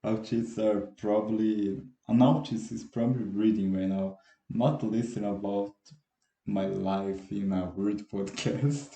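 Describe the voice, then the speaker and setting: An eighteen-year-old man from Brazil with a Brazilian Portuguese accent, speaking English as a second language. He hosts a casual solo podcast and talks in a halting, self-deprecating way, often restarting his words. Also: lowish voice, fairly even intonation, creaky, mellow. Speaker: podcast host. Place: room at home, dogs barking outside. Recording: echoey room.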